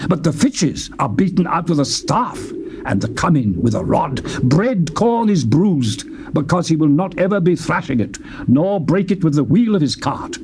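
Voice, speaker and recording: A man's voice: fairly high pitch, slight background noise. A man reading scripture as a dramatic narrator, solemn and declamatory, in archaic diction, on an old cassette tape. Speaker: Bible narrator.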